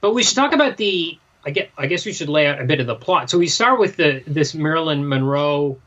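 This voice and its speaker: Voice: medium pitch, expressive, brisk, a bit bright, highs cut off. Casual podcast co-host thinking aloud. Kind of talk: casual comic book discussion.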